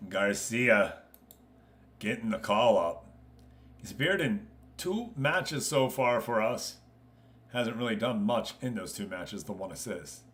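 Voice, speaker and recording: Bright-voiced man hosting a casual game stream. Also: fairly low pitch, expressive, takes it slowly, long pauses. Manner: informal, conversational, addressing viewers directly. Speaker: live stream host. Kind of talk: casual game stream commentary, chatting with viewers.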